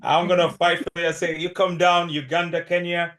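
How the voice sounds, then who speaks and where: A man's voice: a little high, level tone, normal speed, a bit bright. A man from East Africa, a community member raising a point in a casual group discussion, hesitant with frequent fillers. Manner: hesitant, many fillers and restarts.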